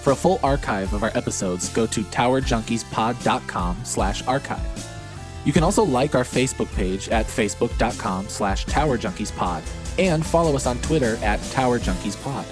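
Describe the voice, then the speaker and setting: A man reading a scripted podcast outro announcement in a steady, even delivery. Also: mid-range voice, moderate pace. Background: guitar music bed.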